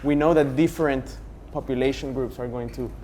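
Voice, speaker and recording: Young male panelist from Honduras, earnest, methodical, mellow tone. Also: medium pitch, fairly even intonation, fairly quick, slight background noise. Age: young adult. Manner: earnest, building points with for instance. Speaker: panelist, youth organization founder. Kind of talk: panel discussion.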